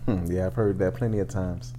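Male host, 30s, lower-pitched, earnest and deliberate, for reflective interview show. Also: steady tone, dark tone, a little hiss or hum. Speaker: podcast host.

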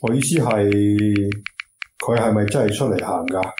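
Casting: male actor as blunt, suspicious Hong Kong Cantonese man, lowish voice, slangy radio play, echoey room. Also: unhurried, lightly acted. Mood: blunt, probing. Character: blunt, suspicious man checking up on shady jobs. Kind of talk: radio drama acting, colloquial slang. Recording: roomy echo with clear background noise.

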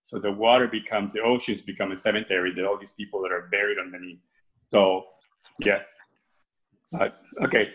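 Low-voiced middle-aged man, rambling. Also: flat delivery, a little fast, a bit bright, rough recording. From Cuba. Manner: rambling, many restarts and fillers. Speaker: artist being interviewed. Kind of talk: casual interview conversation.

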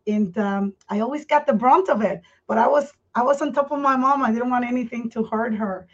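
A woman, her voice set a little high. She talks candidly as a podcast guest, circling back and restarting her phrases. The sound is muffled and dull. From Puerto Rico. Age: 50s. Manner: candid, earnest, speaks in halting restarts. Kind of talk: personal life story in a podcast interview.

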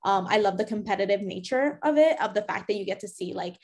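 A woman's voice: medium pitch, expressive, fast, darkish tone. A young adult American woman giving casual, personal recommendations as a podcast co-host.

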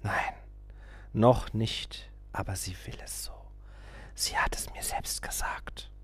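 A man voicing a sneering, menacing old male guru who talks slowly and drops to whispers. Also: medium pitch, animated, dry recording. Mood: whispering. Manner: slow, mocking, whispered urgings.

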